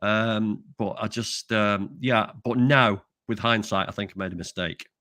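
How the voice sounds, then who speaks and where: An older man from England chatting casually as host, rambling and hesitant with many pauses. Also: lowish voice, steady tone, bright, forceful tone, no room sound, studio quality. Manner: rambling, hesitant, lots of ums. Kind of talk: casual chat.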